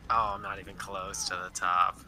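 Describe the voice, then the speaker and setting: A man's voice: low voice, little pitch movement, moderate pace, pushed and bright, slight background noise. A man chatting casually as he plays along in a video game. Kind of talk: casual gaming chat.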